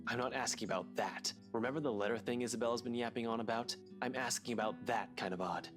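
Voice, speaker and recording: A man's voice: mid-range voice, fast, over music, lightly acted. A man playing a skeptical, matter-of-fact young cop in a visual novel game. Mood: probing. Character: guarded, skeptical young male cop, blunt and investigative. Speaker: video game character.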